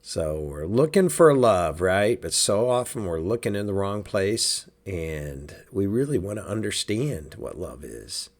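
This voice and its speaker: Hoarse, slow-talking man. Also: sits low, very animated. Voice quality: raspy. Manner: easygoing, chatty, with many fillers. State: hoarse from a sinus cold. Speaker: podcast host. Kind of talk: casual reflective church podcast.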